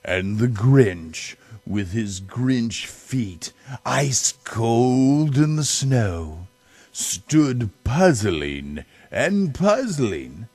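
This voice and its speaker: Slow, very animated man reading a storybook. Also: medium pitch, no room sound, faint music behind. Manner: theatrical, drawn-out phrases with big swells. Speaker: storybook reader. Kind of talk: dramatic children's storybook reading.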